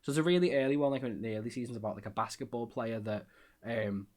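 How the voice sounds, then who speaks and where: A man's voice: mid-range voice, fast, a little mellow. Rambling Scouse man hosting a podcast. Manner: rambling, self-deprecating, lots of fillers. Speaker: podcast host. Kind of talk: casual chat.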